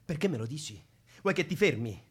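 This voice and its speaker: Young male lead for radio drama: restless, needling lover, fairly high voice, impassioned. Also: animated, fairly quick, slightly rough. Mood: tender, pleading. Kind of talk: Italian radio drama acting.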